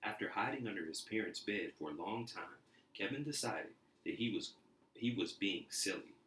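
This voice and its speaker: Male reader for a children's storybook, deep low register, expressive narration, audibly echoey room. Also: normal speed, a little hiss or hum. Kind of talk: expressive storybook read-aloud. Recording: roomy, echoey indoor sound.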